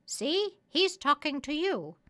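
A woman voicing a proud, bossy, clever female parrot in a high, squawky voice for a children's story. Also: big pitch swings, takes it slowly, sharp tone, exaggerated voice. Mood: instructive. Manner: emphatic, instructive, sure of herself.

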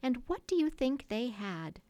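여자가 연기하는 엄마처럼 이야기를 들려주는 진지한 소녀. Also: female voice, fairly high pitch, big pitch swings, measured pace, no room sound, voice pushed up. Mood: earnest, storytelling. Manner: theatrical, gently scolding, instructive. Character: motherly little girl storyteller, earnest and grand.

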